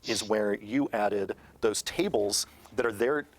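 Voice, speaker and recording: A man's voice: fairly low pitch, takes it slowly. A man speaking as a panelist, giving appreciative comments in a discussion.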